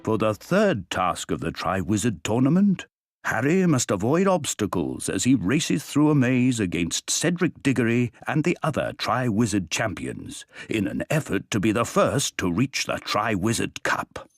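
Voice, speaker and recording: Male narrator, lowish husky voice, lively melodic storytelling, dry recording, faint music. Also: normal speed, no room sound. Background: soft background music.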